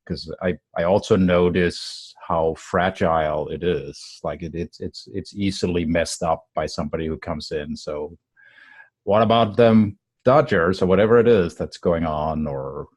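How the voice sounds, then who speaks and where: A man in a group conversation, speaking hesitantly and slowly while searching for his words. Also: deep voice, a little mellow, slightly rough, dry recording. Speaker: discussion participant.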